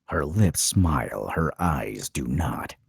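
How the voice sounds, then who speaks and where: A man narrates screenplay directions in a low, husky voice. His pitch moves around a great deal as he sets each scene, and the sound is dry and close. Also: lively intonation, takes it slowly, no echo. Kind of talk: scripted narration of a screenplay table read.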